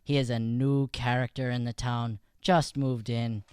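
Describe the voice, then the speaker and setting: A man's voice: mid-range voice, level tone, measured pace, a little mellow, clear, resonant tone, no room sound, clean studio sound. A man performing as an improv comedian, bantering and recapping a show informally into a podcast microphone.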